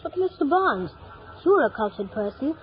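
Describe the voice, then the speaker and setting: A woman's voice: high-pitched, big pitch swings, normal speed. A woman playing an earnest, eager, polite young boy full of wonder, in 1950s radio drama style. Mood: innocent, chatty. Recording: old radio broadcast, narrow band, music behind.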